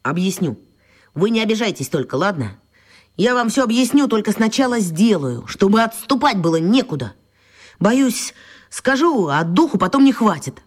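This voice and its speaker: Stubborn schoolboy, radio play, lively swooping intonation, brisk, resolute. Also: female voice, mid-range voice.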